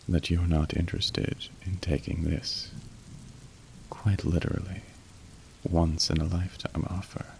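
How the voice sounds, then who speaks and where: Casting: male actor as incubus on a demonic phone line, ASMR. Deep, subdued melody, polite, wry, a touch awkward; rain in the background. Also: creaky, a little mellow, exaggerated voice. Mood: suave, formal, suggestive. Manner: polite, wry, suggestive turning awkward and apologetic. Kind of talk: ASMR roleplay acting. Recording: rain noise behind the voice. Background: falling rain.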